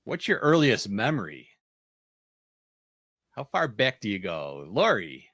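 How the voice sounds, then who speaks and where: A man's voice: lowish voice, huge pitch swings, slow pace, fairly bright tone, dry recording, clean studio sound. A man hosting a panel, asking easygoing questions and sharing a short memory. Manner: easygoing, asks questions and gives short affirmations. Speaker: host, moderator. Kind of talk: casual panel chat.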